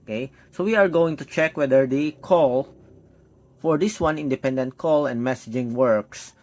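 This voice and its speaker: A man's voice: medium pitch, normal speed, darkish tone. A man giving an unscripted, step-by-step hands-on product demo, thinking aloud as he tests. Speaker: tech product reviewer.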